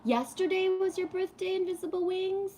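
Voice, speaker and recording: A woman's voice: very high-pitched, normal speed, dark tone, slight background noise. A woman in her fifties hosting a livestream, chatting casually and greeting viewers while talking about spiritual topics. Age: 50s. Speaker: livestream host.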